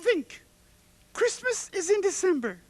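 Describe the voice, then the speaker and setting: A man's voice: extremely high pitch, very animated, measured pace, dry recording, heavily acted. A man voicing a vain, sassy female pig in a puppet comedy, with a haughty, coy and bossy diva delivery. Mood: indignant, bossy. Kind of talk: puppet comedy fairy-tale acting.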